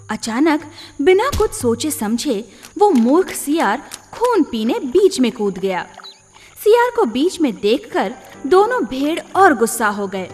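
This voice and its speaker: A woman storyteller reading scripted children's tales in a deliberate, expressive manner. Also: high voice. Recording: long reverb, music behind.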